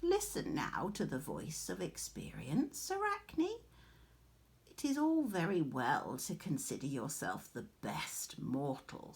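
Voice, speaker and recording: A woman voicing a frail old crone in a storybook, with a breathy half-whisper and a drawn-out, admonishing manner. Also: medium pitch, a bit bright, full character voice. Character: frail old crone, slow, solemn, warning.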